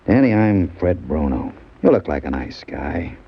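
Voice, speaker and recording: Husky, swooping, shifty middle-aged heavy. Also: male voice, very deep voice, sing-song, moderate pace, exaggerated voice. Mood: uneasy, furtive. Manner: hesitant, urgent, insistent. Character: big, nervous, shifty forty-year-old pool parlor owner with menace. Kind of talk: old-time radio crime drama acting. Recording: dull vintage radio recording.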